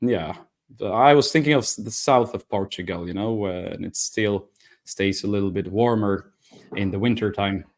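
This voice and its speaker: Male co-host, energetic and casual, laughs into his sentences. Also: on the low side, moderate pace. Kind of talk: casual livestream chat.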